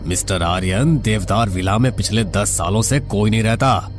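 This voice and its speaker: A man playing a matter-of-fact official briefing a patient in a horror story, measured and formal. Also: on the low side, over music, lightly acted. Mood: formal concern.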